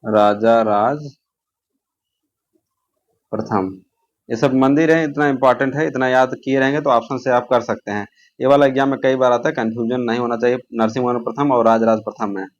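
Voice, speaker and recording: A man's voice: medium pitch, fairly even intonation, a little fast, muffled recording. A man teaching an informal exam-prep lesson, posing questions, answering them himself and repeating key terms.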